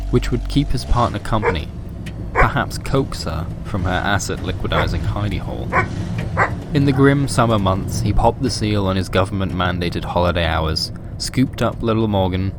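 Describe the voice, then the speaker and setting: A man narrating a scripted horror story for a fiction podcast. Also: low voice.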